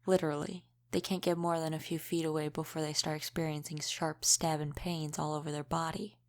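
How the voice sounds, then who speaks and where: A woman playing a centuries-old, world-weary vampire mentor in an audio roleplay, speaking in a measured, matter-of-fact, lightly wry way. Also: deep voice, flat delivery, darkish tone. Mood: explaining, wry. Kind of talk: scripted audio roleplay, addressed to the listener.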